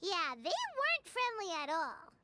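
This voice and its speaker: A woman voicing a tiny, chirpy floating girl companion in a game cutscene, blurting out quick reactions. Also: very high-pitched, wildly swooping pitch, background noise, full character voice. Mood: surprised. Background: game music.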